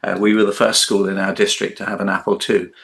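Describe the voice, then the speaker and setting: A man's voice: lowish voice, monotone, fast, vocal fry, fairly bright tone. An older man in his seventies, a retired teacher, introducing himself on a video call, joking and rambling with many fillers.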